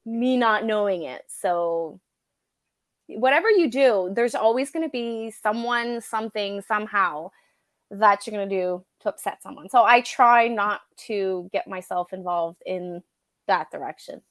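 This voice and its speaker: A woman's voice: medium pitch, measured pace, fairly bright tone, dry recording, studio quality. A French Canadian woman whose first language is French, co-hosting a live stream in casual chat, chatty and laughing easily as she hunts for words. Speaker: co-host of a homestead live stream.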